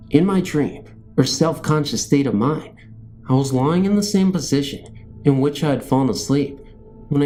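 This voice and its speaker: Male narrator for bedtime ghost stories: mellow, warm timbre, measured scripted read, light music bed. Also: medium pitch. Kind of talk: scripted narration of scary first-person stories. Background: background music.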